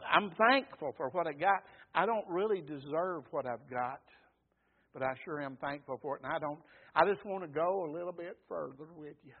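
A man preaches in a folksy, emphatic way, pausing to call for amens from the congregation. His voice sits high and sounds husky. The recording is thin and phone-like. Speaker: pastor. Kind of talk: sermon.

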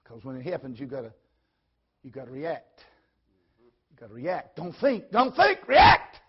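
Husky old preacher man. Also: fairly high pitch, huge pitch swings, unhurried. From Tennessee, USA. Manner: folksy, repeats phrases, swings from loud to soft. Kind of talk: sermon storytelling. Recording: dull lo-fi recording.

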